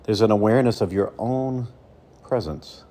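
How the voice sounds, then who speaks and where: Male meditation coach, low and soft-toned, unhurried soothing phrasing with deliberate gaps, faint noise behind. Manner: slow, soothing, with deliberate pauses between phrases. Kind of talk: guided meditation.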